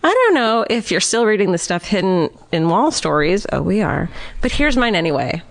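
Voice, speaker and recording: A woman's voice: mid-range voice, big pitch swings, moderate pace. A woman co-hosting a podcast, chatting casually and reading a listener letter aloud, giggling often and swearing freely. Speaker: podcast co-host.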